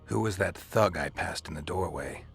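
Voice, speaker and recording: A man's voice: low-pitched, normal speed, creaky, soft background music. A man playing a stoic, world-weary mercenary monster hunter in a fantasy video game, speaking in a terse, dry, understated way. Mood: dry, probing. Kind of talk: dramatic fantasy game acting.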